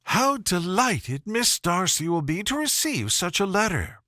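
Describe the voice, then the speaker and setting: A gushing young lady of good family, acted out by a man for an audiobook. The voice is lifted high and very animated, fawning over every word and eager to charm. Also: unhurried, no room sound, clean studio sound, heavily acted. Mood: gushing, flattering. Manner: effusive, fawning. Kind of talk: audiobook character voice.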